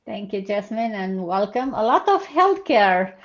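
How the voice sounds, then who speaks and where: A woman's voice: mid-range voice, big pitch swings, measured pace. A woman hosting a live online session over a video call, chatty, with fillers and restarts. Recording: muffled lo-fi video call audio.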